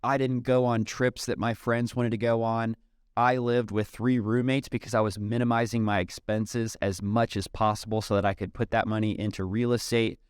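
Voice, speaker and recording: Casual guest type: man, thirties, low smooth voice, dry close sound, easygoing and chatty. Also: normal speed, no echo. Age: 30s. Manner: relaxed, chatty, frequent "um" and "dude". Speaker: podcast guest, software company CEO. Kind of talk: casual interview conversation.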